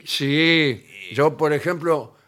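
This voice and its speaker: A man's voice: a little high, very slow, fairly bright tone. A man hosting a comedy radio show, riffing ironically with hesitant fillers. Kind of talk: humorous radio monologue, reading and riffing.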